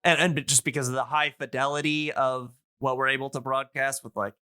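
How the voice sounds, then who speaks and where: A man talking casually and enthusiastically as a podcast guest, explaining things in a rambling, halting way. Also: medium pitch, level tone, sharp tone, smooth, no room sound, clean studio sound. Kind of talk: casual, enthusiastic info-dumping podcast chat.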